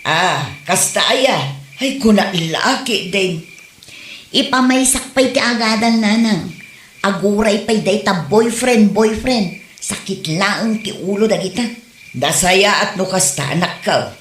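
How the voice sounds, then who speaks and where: Woman playing nagging Ilocano mother, suspicious, unhurried, roomy echo, comedy radio play. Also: mid-range voice, lightly acted. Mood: exasperated. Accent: Ilocano Filipino. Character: stern, scolding mother of a student daughter. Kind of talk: Ilocano comedy audio drama. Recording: roomy echo with faint noise.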